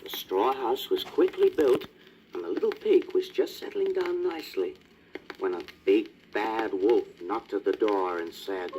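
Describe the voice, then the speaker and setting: A man's voice: fairly low pitch, lively intonation, a little slow, a little mellow, a little hiss or hum, rough recording. A man narrating a scripted children's storybook as a storyteller. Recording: old record with music behind.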